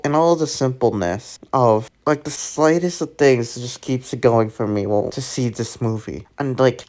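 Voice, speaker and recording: A young man chatting through a movie trailer in a loose, meandering way. He leans on "like" constantly, restarts sentences and circles back to his points. His voice sits at an ordinary middle pitch for a man. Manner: rambling, heavy use of "like" fillers and restarts. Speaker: trailer reaction vlogger. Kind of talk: casual trailer commentary.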